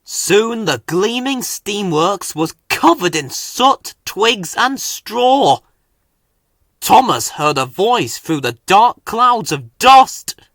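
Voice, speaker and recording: A man reads a children's storybook aloud in a dry, close recording. He takes his time, and his pitch rises and falls very widely to dramatise the tale. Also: sing-song, takes it slowly, a bit bright, dry recording. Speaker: story narrator. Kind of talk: expressive children's storybook reading.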